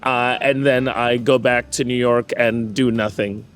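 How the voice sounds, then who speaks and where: A man's voice: mid-range voice, steady tone, unhurried, a bit bright, faint music behind. A man from Jamaica with a Jamaican accent polished by classical training, a performer chatting playfully and theatrically in an interview. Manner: playful, theatrical, self-mocking. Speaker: performer and show host. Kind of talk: casual interview chat.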